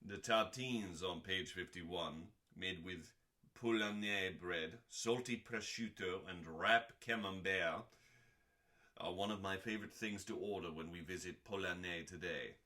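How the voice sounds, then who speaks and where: A man hosting a dramatic scripted reading in a deliberate, theatrical manner with pauses and dry, deadpan delivery. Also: low voice. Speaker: host.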